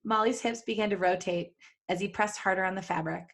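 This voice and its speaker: A woman's voice: medium pitch, fairly even intonation, fairly quick, vocal fry, fairly bright tone. A woman podcast host reads fan fiction aloud. Her delivery is playful and giggly, and she drops out of the story into chatty asides.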